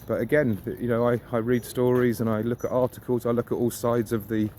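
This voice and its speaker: A man's voice: medium pitch, little pitch movement, a little fast, warm tone. A man talking casually and musingly as he walks outdoors, opinionated and mildly sweary. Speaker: vlogger. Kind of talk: casual rambling walk-and-talk monologue. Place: outdoors, walking suburban riverside streets. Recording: outdoor background noise. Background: outdoor ambience.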